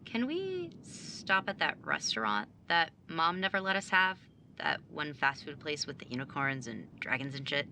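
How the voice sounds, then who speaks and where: A woman's voice: deep voice, sing-song, moderate pace, bright, forceful tone, a little hiss or hum, full character voice. A young woman playing a sarcastic, blunt nineteen-year-old younger sibling in a naturalistic audio drama. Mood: playful, snarky. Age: young adult. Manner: sardonic, blunt, casual.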